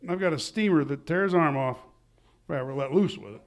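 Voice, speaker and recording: An actor playing a boastful, indignant husband who blusters pompously in an old-time radio comedy. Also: male voice, fairly high pitch, a little fast, natural voice. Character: boastful, indignant husband and would-be baseball pitcher.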